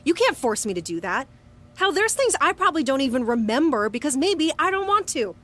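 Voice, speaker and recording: A woman's voice: high-pitched, very animated, brisk. An actress playing a hot-tempered, wounded young woman in an audio drama, with a blunt, sweary way of talking. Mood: angry, defiant. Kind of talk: audio drama acting and first-person narration.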